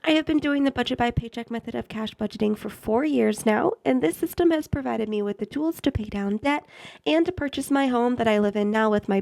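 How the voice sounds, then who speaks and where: A woman in her mid-twenties, stuffed up with a head cold, chatting warmly to camera and thanking her viewers at length. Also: a little high, gravelly. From Maine, US. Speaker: budgeting YouTuber. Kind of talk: casual chat to camera.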